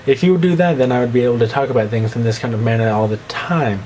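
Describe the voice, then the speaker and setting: A man's voice: fairly even intonation, fast, darkish tone, a little hiss or hum. Rambling young man with a slight lisp. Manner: rambling, self-mocking, breaks into squeaky put-on voices. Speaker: vlogger. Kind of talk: rambling casual monologue to camera.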